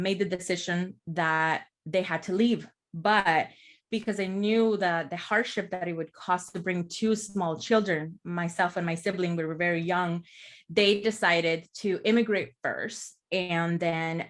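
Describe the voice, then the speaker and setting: A woman's voice: fairly low pitch, fairly even intonation, unhurried. A woman from El Salvador, a therapist, talking as a podcast guest in a reflective, explanatory way, often checking in with "right?". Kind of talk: casual podcast conversation.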